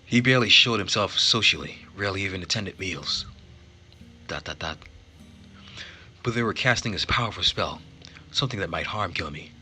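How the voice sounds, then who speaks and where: A man's voice: low voice, expressive, normal speed, pauses often, bright, forceful tone, faint music behind. A man reading a visual novel aloud as dramatic narration during a playthrough.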